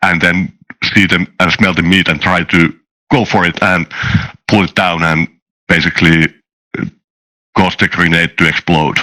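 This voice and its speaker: A man talking casually about game design on a podcast, haltingly and repeating words. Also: low voice, fairly even intonation, sharp tone, low-quality recording.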